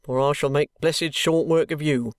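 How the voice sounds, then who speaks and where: A reader voicing a stern, unyielding police constable who speaks slowly and firmly. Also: mid-range voice, fairly even intonation, clean tone, no echo, studio quality, lightly acted. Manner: firm, slow and weighty.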